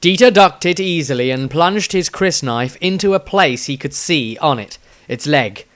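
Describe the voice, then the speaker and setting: A man's voice: medium pitch, fairly bright tone. A man reading his own fantasy story aloud as a scripted, dramatic narration with a theatrical delivery.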